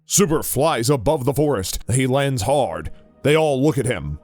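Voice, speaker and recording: A man's voice: mid-range voice, expressive, measured pace, a little mellow, no room sound, faint music behind. A man reading scripted narration for an audio drama.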